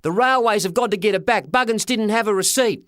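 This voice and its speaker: Bold, cheeky schoolboy for a children's story, performed by a man: high, boyish, emphatic. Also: expressive, a little fast, dry recording, studio quality. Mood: indignant.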